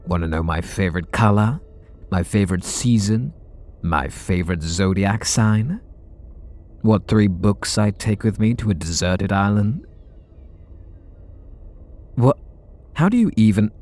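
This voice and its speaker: A man's voice: deep voice, darkish tone, full character voice. A man voicing a bitter, sarcastic supervillain in an ASMR roleplay audio drama, talking in a sardonic, mocking way. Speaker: voice actor.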